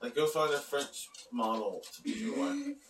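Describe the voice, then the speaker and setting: A man's voice: fairly high pitch, huge pitch swings, normal speed, a bit bright. An adult man co-hosting a casual home podcast, chatty and easygoing as he steers the topics. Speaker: podcast co-host. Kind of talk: casual family podcast chat. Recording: roomy, distant mic, faint hiss.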